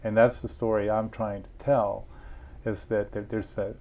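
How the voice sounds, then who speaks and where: A man's voice: lowish voice, little pitch movement, moderate pace, dark tone, highs cut off. A man being interviewed about his book, telling stories earnestly with frequent restarts. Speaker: author and guest. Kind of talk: interview conversation.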